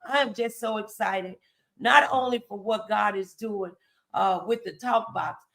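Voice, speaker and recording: Lady host doing casual faith motivation talk, real bright voice, emphatic, talks right to viewers. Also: medium pitch, unhurried, vocal fry. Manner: emphatic, encouraging, addresses viewers directly.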